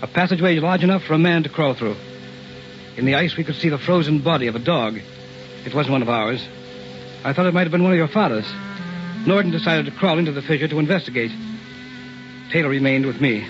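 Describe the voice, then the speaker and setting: Actor as a determined professor, muffled old radio. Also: male voice, fairly high pitch, fast, pauses often, over music, close to an everyday voice. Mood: grave. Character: determined, curious male scientist professor, middle-aged expedition leader. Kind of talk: old-time radio drama acting. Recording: muffled vintage radio recording.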